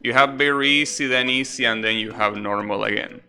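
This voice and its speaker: A man with a very bright, forceful voice talks over video game music. He streams a game with casual, rambling commentary, explaining things as they go. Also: medium pitch, fairly quick. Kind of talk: casual live gameplay commentary.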